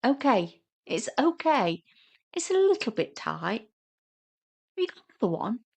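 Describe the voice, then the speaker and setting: A teasing younger sister, played by a woman narrator in a romantic comedy audio story. She is sarcastic and immature. She bickers in an excitable, mocking way. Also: a little high, a little slow, gravelly, slightly rough, pitched above the performer's own voice, heavily acted. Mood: apologetic.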